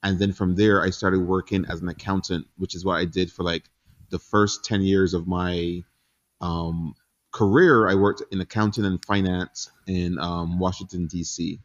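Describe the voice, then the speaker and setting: Low-voiced relaxed man from Barbados. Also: normal speed, dull audio. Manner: relaxed, laughs easily, frequent ums. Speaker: guest entrepreneur. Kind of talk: casual interview chat.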